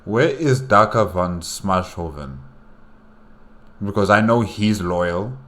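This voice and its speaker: A man's voice: low-pitched, slow pace, fairly bright tone. A man hosting a reaction, commenting casually and conversationally, repeating phrases as he goes. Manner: conversational, repeats phrases, speaks to the story's characters. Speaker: reaction host.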